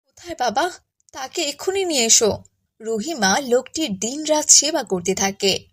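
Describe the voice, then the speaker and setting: A woman narrating a cartoon tale and voicing a gentle, caring, pious village mother. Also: high voice, lively intonation, normal speed. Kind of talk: cartoon storytelling with character dialogue.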